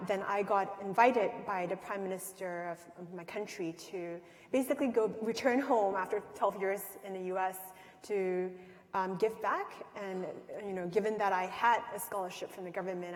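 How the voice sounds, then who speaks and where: A woman from Malaysia in her thirties, a startup executive telling her story on a conference stage, chatty with restarts and fillers. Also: mid-range voice. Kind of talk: personal story on a conference panel. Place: large conference hall. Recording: long hall reverb.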